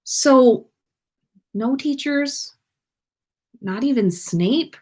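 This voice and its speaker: A woman's voice: high-pitched, extremely slow, a little mellow, pitched above the performer's own voice, exaggerated voice. A woman voicing an amused, awkwardly apologetic teenage wizard boy who speaks hesitantly, in a story read-aloud. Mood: casual. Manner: hesitant, stifling laughter. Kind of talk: animated fan fiction read-aloud.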